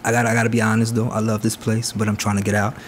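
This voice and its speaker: A man's voice: on the low side, completely flat delivery, racing, a little hiss or hum. A man from Aruba hosting a podcast, talking in a laid-back, slangy way and often repeating words as he starts sentences. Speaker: podcast host. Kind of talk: casual interview chat.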